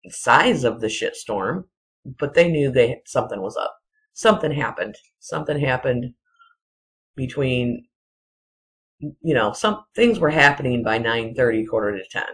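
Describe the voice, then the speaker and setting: Casual solo commentator, medium pitch, unhurried and halting, insistent repetition, rambling delivery. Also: poor audio. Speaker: video commentator.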